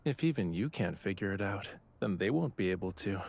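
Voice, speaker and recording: A man's voice: deep voice, lively intonation, brisk, vocal fry, darkish tone, exaggerated voice. An actor playing a casually indifferent, teasing young male artist in a video game. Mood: calm, assured. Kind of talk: English video game voice acting.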